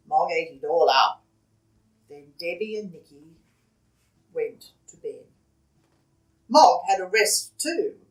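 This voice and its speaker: A woman reading a children's picture book aloud as a storyteller, expressively, with dramatic shifts between hushed and loud delivery. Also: mid-range voice, distant mic. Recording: roomy echo, away from the mic.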